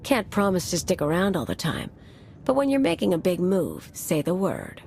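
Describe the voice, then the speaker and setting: A woman's voice: lowish voice, wildly swooping pitch, normal speed, creaky, a little mellow, a little hiss or hum, exaggerated voice. A woman playing a brash, sardonic mercenary in a video game cutscene, slangy and casual about violence. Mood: breezy.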